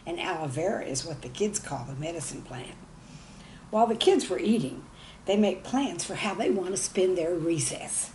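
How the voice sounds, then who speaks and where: Woman, lower pitch, very lively intonation, folksy children's storytelling, noticeable background noise. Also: moderate pace, poor audio. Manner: folksy, animated, addresses young listeners directly. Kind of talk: expressive storytelling for young children.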